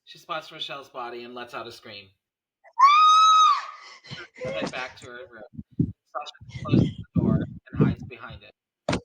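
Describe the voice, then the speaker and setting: Male narrator for a screenplay read: medium pitch, slightly raspy, expressive, reading action lines. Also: a little slow, pauses often, low-quality recording. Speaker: narrator reading stage directions.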